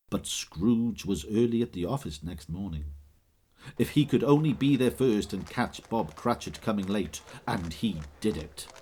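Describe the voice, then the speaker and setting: A man giving measured, scripted dramatic narration as the storyteller of a Victorian Christmas tale. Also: fairly low pitch, breathy edge, full character voice.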